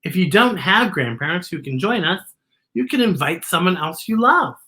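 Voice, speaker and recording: Woman playing a bright, animated primary schoolteacher. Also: lowish voice, normal speed. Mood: cheerful. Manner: patient, addressing small children. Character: cheerful adult female schoolteacher, kindly but mistaken.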